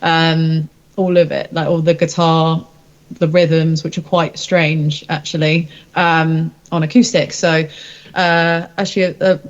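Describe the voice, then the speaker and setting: A woman's voice: sits low, little pitch movement, takes it slowly, lo-fi sound. A woman, a rock singer-songwriter, chatting casually in an interview in an informal, rambling, self-deprecating way.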